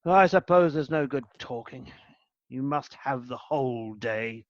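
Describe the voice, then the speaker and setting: A man's voice: medium pitch, a little slow, no echo, lo-fi sound, lightly acted. A man playing a cold, grumpy elderly miser in a radio play, curt and scornful, barking orders. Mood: grudging, irritated.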